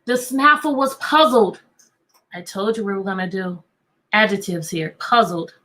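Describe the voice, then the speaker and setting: A woman teacher reading a picture book aloud to children, animated and coaxing, often repeating questions to draw out answers. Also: mid-range voice, unhurried, poor audio. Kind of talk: interactive children's read-aloud.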